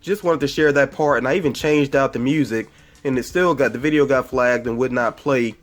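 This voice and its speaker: A man's voice: medium pitch, a little fast, soft background music. Congested man chatting through a movie review. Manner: rambling and chatty, with frequent fillers and self-corrections. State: congested from a cold. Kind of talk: casual spoiler movie review.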